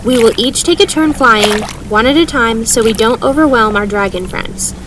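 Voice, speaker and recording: Woman playing determined young mage woman, fairly high pitch, projected, instructing, earnest, audio drama, slight background noise. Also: moderate pace, lightly acted. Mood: firm, instructing. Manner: projected, clear instructing, calling out to a group.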